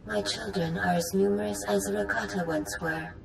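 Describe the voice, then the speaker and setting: A woman playing an ancient godlike machine mother in a video game, speaking in a measured, stately, maternal way, proud and tender. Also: steady tone, lightly acted. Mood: tender, imperious. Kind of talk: dramatic video game acting. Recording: roomy echo, faint rumbling noise.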